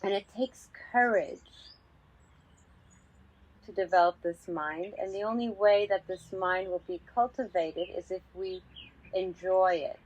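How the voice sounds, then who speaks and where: Very slow-paced woman guiding meditation. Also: medium pitch. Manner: calm, unhurried, with many pauses between short phrases. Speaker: meditation teacher. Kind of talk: guided meditation instruction. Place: outdoors in a garden. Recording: slightly dull sound with birdsong. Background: birdsong.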